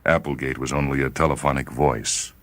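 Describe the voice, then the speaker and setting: A man reading a short story as dramatic narration, grave and measured, with theatrical emphasis. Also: a bass voice, fairly even intonation, a bit bright, faint music behind. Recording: faint noise and ambient sound bed. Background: faint ambient sound.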